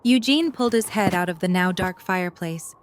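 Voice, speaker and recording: A woman's voice: on the low side, brisk. A woman reading scripted third-person narration as the narrator of a story.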